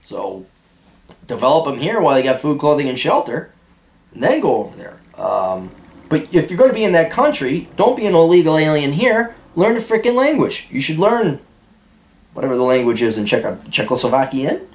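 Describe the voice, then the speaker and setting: A man hosting a podcast, answering listener questions in a blunt, sarcastic way and mocking some of them in high put-on voices. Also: big pitch swings, normal speed, reverberant, poor audio. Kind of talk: blunt casual monologue reading out and answering listener mail.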